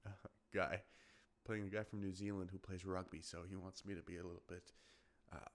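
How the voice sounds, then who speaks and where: A young man with a very deep, low-pitched voice talks through a solo podcast episode. He rambles casually, stopping and restarting his sentences and filling gaps with ums and uhs. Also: little pitch movement, brisk. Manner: rambling, many fillers and restarts. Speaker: podcast host.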